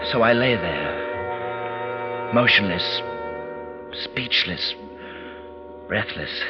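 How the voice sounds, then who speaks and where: An actor playing a tense man recounting a night of terror in halting, dramatic phrases, in 1940s radio style. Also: on the low side, animated. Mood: spellbound terror. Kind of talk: 1940s radio acting, first-person suspense narration. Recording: muffled vintage 1940s radio recording, music behind.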